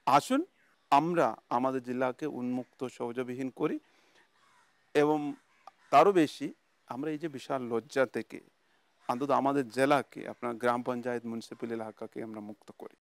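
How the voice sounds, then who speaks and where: A man's voice: mid-range voice, moderate pace, vocal fry, pauses often, dry recording. A man with a West Bengal accent explaining policy to the public as a government official.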